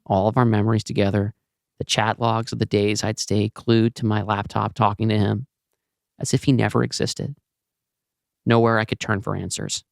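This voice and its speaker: A man's voice: on the low side, little pitch movement, moderate pace, vocal fry, no echo, studio quality. A man acting in an audio play as a bitter, grieving leader of an armed band of widows.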